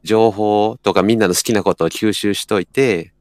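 A man with a Kansai accent chatting casually, thinking aloud in a halting way. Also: on the low side, steady tone, darkish tone, no room sound. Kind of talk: casual chat.

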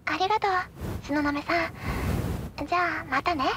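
A woman voicing a poised, aloof teenage schoolgirl who speaks in calm, refined sentences in a radio drama. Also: high voice, normal speed, background noise, lightly acted. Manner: calm, refined speech, cool and curt. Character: poised, aloof teen schoolgirl with a secret singing talent.

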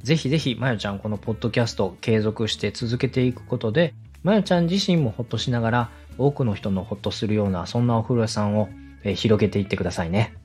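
Male podcast host and coach, lowish register, courteous and supportive, soft music bed. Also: quick pace, few pauses. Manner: polite, encouraging. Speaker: podcast host and radio director. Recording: music playing behind the voice. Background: background music.

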